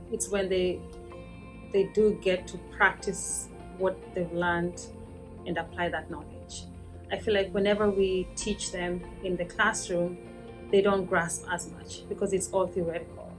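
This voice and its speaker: A woman professor from Kenya, explaining her research in a measured way with frequent pauses and ums. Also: on the low side, fairly even intonation, music in the background. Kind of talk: interview about research.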